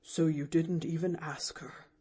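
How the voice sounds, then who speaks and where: A woman's voice: fairly even intonation, unhurried, slightly rough. A woman doing a lonely, hurt older man. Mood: grave hurt. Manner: low and grave, sinking to a hushed murmur. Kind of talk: audiobook character voice.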